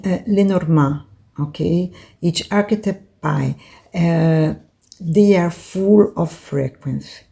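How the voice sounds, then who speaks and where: Woman, Brazilian accent, non-native English, card-reading teacher, low mellow voice, halting with fillers. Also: vocal fry. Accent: Brazilian Portuguese. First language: Portuguese. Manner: unhurried, halting, many fillers and tag questions. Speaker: tarot and oracle card teacher.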